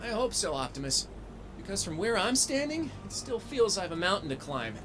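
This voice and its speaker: Plucky, animated robot hero, highish voice. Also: male voice, fairly quick, noisy background. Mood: wry. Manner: wry, quippy, informal. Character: upbeat young male robot hero, wry and plucky. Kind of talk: video game cutscene acting.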